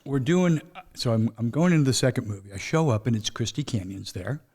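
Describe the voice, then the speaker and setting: A man's voice: medium pitch, animated, a little fast, a little mellow, slightly rough. Guy in his 50s telling old stories, medium voice, keeps asking you know what i mean. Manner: rambling storyteller, often asks "you know what I mean?". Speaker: guest. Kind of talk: casual interview chat.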